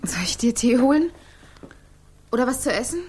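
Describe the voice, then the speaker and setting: An actress playing a fearful, evasive young adult daughter in a radio drama, stammering and hesitant. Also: female voice, on the high side, lively intonation, normal speed, darkish tone. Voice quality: breathy. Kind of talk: 1980s German radio drama acting.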